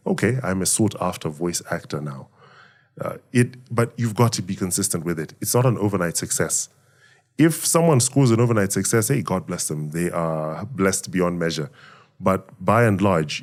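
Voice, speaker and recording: A middle-aged man from Zambia, a voice actor giving thoughtful interview answers in a measured, reflective way. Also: low voice, darkish tone. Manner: measured, reflective, speaks of faith and gratitude. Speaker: voice actor and emcee, guest.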